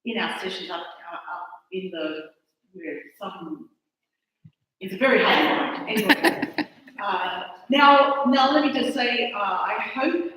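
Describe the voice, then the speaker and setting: A woman's voice: mid-range voice, slow, a bit bright. A woman from Australia with an Australian accent, giving a chatty, self-deprecating conference talk that halts and restarts. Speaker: conference speaker. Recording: echoey hall, mic far off.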